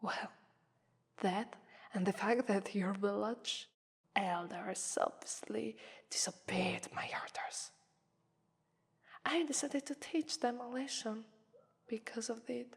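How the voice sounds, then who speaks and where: A woman's voice: on the high side, exaggerated voice. A woman with an Italian accent voicing a haughty, mocking female vampire who toys with her prey in a theatrical ASMR roleplay. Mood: musing, superior. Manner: mocking, theatrical, drawn-out phrasing with breathy whispers. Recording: long reverberant hall echo.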